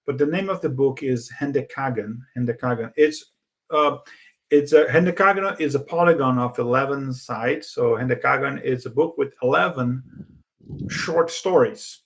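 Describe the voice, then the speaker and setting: A man whose first language is Portuguese, co-hosting a podcast in a casual, halting way with many fillers and restarts. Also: mid-range voice, vocal fry. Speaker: podcast co-host. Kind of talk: casual conversation.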